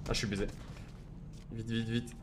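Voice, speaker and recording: A man's voice: lowish voice, flat delivery, normal speed, noisy background. Mocking young man on a headset. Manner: mocking, swears a lot. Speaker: streamer. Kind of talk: casual gaming commentary.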